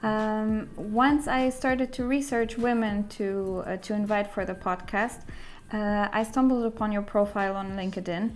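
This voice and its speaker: A woman's voice: mid-range voice, steady tone, measured pace. A woman hosting an interview podcast, asking conversational questions in a wordy, searching way. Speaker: podcast host interviewing a guest.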